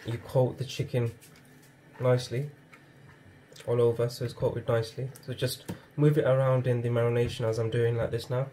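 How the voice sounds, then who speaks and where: A man hosting a home cooking channel, talking viewers through a recipe step by step in a steady, instructive way from his kitchen. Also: mid-range voice, moderate pace, a little hiss or hum. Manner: steady, instructive, often addresses viewers as guys.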